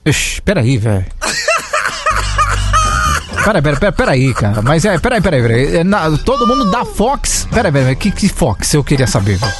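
A man's voice: fairly high pitch, very animated, normal speed. A man with a Brazilian Portuguese accent hosts a late-night call-in radio show, joking and laughing with callers and repeating himself in a sing-song way. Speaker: radio show host taking listener calls. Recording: music playing loudly behind.

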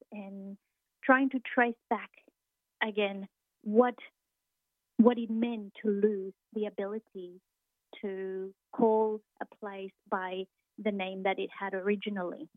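A woman from Argentina, a Spanish speaker, answering interview questions as a university lecturer, thoughtful and pausing often to find her words. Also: medium pitch, dry recording. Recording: tinny phone line.

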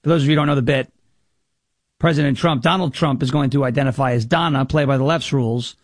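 An American man with a raspy voice from New York, hosting a talk radio show in an emphatic, jokey way. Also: mid-range voice, fast, no room sound, studio quality. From New York, United States. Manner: emphatic, jokey, slips into impression bits. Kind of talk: political talk show monologue with comic bits.

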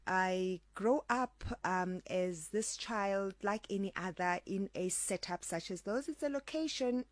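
A South African woman from the Western Cape answering interview questions in a measured way, pausing with frequent ums. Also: medium pitch, no room sound. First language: Afrikaans. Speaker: interview guest, actress and producer.

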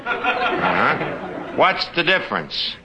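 An actor playing a sarcastic tavern manager who delivers deadpan wisecracks, in old-time radio comedy style. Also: male voice, fairly high pitch, slow, a loud crowd, heavily acted. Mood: dry, mocking. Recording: noisy old radio recording.

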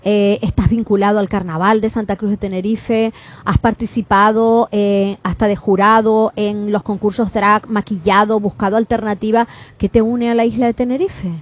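A woman hosting a radio interview in a lively, talkative way. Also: mid-range voice, moderate pace. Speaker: radio host.

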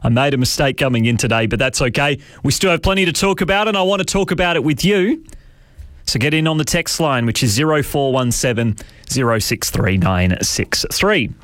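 Hoarse raspy-voiced male radio host. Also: mid-range voice, expressive, a bit bright, dry recording. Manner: conversational, explanatory, measured. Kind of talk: talk radio monologue. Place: radio studio.

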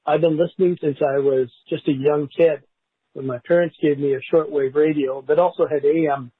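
A man in his sixties giving a heartfelt personal testimony in a voicemail, halting and pausing mid-sentence. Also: on the high side, fairly even intonation, husky, dry recording. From Canada. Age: 60s. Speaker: listener leaving a voicemail, school bus driver. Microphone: phone. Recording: tinny, narrow phone voicemail line.

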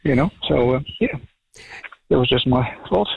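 A man's voice: mid-range voice, lively intonation, moderate pace, gravelly, breathy edge, narrow, tinny audio. A middle-aged man with a Dutch accent, a farmer calling in to a radio show, talking casually with many ums and restarts. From Netherlands. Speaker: dairy farmer, caller. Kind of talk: casual radio phone chat.

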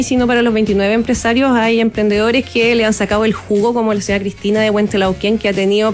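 Chilean woman, somewhat high voice, eager radio guest, faint music behind. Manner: enthusiastic, flowing, with Chilean fillers. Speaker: tourism entrepreneur guest. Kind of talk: radio interview.

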